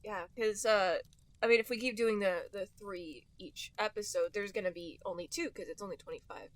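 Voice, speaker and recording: A woman's voice: mid-range voice, fairly even intonation, moderate pace, no room sound. A woman co-hosting a comedy podcast, chatting casually and giggly as she reacts to the reading. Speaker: podcast co-host.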